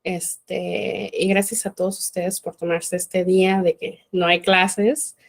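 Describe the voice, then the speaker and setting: A woman's voice: fairly low pitch, flat delivery, slow, gravelly. A woman giving an informal university law talk, conversational and full of fillers. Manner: conversational, many fillers like "este". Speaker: law lecturer.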